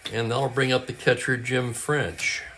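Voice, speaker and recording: A man calling a tabletop baseball replay as play-by-play, with brisk calls and stat asides, and a voice that is getting hoarse. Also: medium pitch, fairly even intonation, pushed and bright, slight background noise. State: losing his voice, clearing his throat. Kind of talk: play-by-play commentary.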